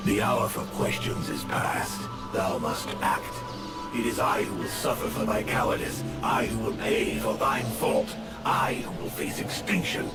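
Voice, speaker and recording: A deep, slow voice of an ancient flame spirit, echoing as if from afar under very loud music. He is imperious and bitter, reproaching in grand archaic phrases, in fantasy game style. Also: lively intonation, distant mic. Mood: anguished, commanding. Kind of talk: theatrical fantasy game acting.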